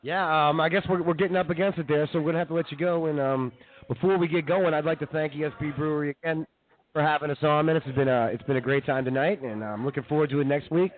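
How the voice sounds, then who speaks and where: Narrow, tinny audio carries a man co-hosting a comedy radio show. His voice sits a bit high and he rambles quickly in slangy, informal chat. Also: steady tone. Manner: laid-back slangy, often says dude and man. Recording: tinny narrow phone-like sound.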